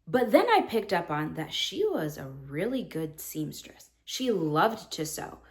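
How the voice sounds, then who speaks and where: A woman's voice: deep voice, measured pace. A woman teacher in her thirties talking earnestly to other teachers about classroom practice, acting out what she says to students. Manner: earnest, animated, acts out her own quoted lines.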